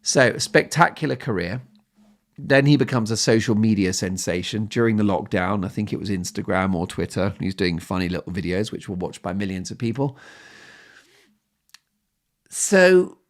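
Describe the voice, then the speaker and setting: Emphatic low-pitched man hosting a podcast. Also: lively intonation, normal speed. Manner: emphatic, opinionated, builds points in lists. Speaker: podcast host. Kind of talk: chatty solo podcast monologue.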